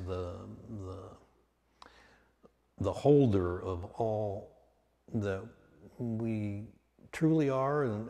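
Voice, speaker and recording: A man guides a meditation in a mellow voice that rings through a long reverb. He speaks very slowly and calmly, setting out short phrases with long pauses between them. The delivery is unscripted and measured. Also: lowish voice. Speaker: meditation teacher. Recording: long reverberant hall sound.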